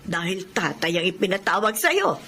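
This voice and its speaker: An actress playing a practical, protective middle-aged aunt in a radio drama. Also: female voice, on the low side, sing-song, moderate pace, bright, forceful tone, slight background noise, heavily acted. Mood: frank. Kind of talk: radio drama acting in Tagalog.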